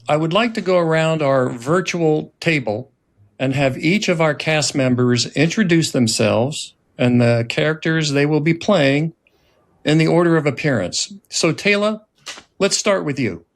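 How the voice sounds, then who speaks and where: Measured man hosting a podcast. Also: on the high side, lively intonation. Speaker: host, writer-director. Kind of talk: scripted podcast introduction.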